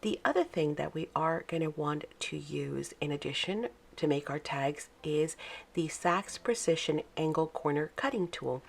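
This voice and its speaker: A woman's voice: low-pitched, moderate pace, vocal fry. A woman hosting a casual craft tutorial, with a froggy morning voice, chatting step by step with plenty of fillers. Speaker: craft video host. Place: home craft desk, early morning.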